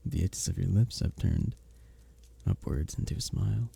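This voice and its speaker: Man playing a dark-voiced, flirty medieval innkeeper. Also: low-pitched, heavily acted. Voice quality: dark, husky, gravelly. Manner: formal old-fashioned diction, teasing, gently courteous. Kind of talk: romantic ASMR roleplay, scripted character acting.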